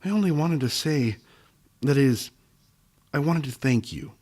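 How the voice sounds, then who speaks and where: A man voicing a polite, quiet young boy warrior who has been wounded. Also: on the high side, animated, measured pace, voice pushed up. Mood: meek.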